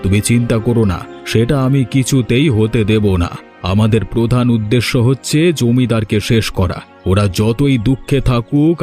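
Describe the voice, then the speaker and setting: A man's voice: mid-range voice, darkish tone, lightly acted. Guy doing a crafty cartoon village husband, mid-range voice, plotting tone, faint music behind. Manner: conspiratorial, reassuring. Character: scheming village husband, devoted to his wife, greedy. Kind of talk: animated cartoon dialogue. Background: background music.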